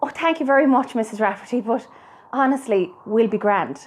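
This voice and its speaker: A patient Irish daughter, grown and worn out , played by a woman, in a radio drama. Her voice sits a little high, and she speaks gently and politely. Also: a little fast, natural voice. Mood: strained politeness. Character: weary, patient grown-up daughter, married mother. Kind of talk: radio play acting.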